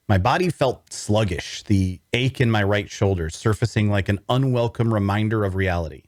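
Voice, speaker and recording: Male actor as wounded captive narrator, lowish voice, weary and guarded, dry close sound, audio drama. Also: darkish tone, dry recording, natural voice. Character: weary, wary wounded male prisoner narrating his story. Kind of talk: audio drama table read with first-person narration.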